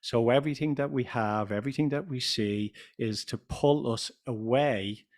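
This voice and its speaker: An Irish man in his fifties, a business coach speaking slowly and deliberately as a podcast guest. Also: medium pitch. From Ireland. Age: 50s. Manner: deliberate, self-assured, slows speech for clarity. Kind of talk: podcast interview.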